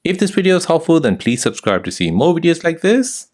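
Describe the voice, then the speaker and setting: A man's voice: on the high side, very animated, fairly quick, a little mellow. A man hosting a how-to tutorial, giving scripted step-by-step instructions. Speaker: tutorial host.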